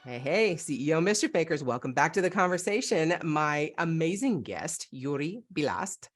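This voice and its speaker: A woman's voice: low-pitched, sing-song, normal speed, soft background music. A woman from Northern California hosting a podcast from her home, chatting with enthusiasm. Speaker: podcast host. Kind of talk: casual interview chat.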